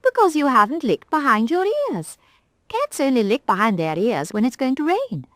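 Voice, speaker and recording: A woman voicing a bold, cheerful, motherly rag doll in a high, bright voice. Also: very animated, normal speed, no room sound, clean studio sound. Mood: chatty.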